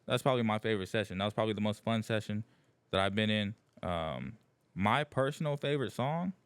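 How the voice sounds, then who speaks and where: A man's voice: on the low side, lively intonation, a little fast, clear, resonant tone, no room sound. A young man chatting casually as a podcast guest, loose and filler-heavy, repeating "yeah yeah yeah". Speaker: guest rapper. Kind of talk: casual chat.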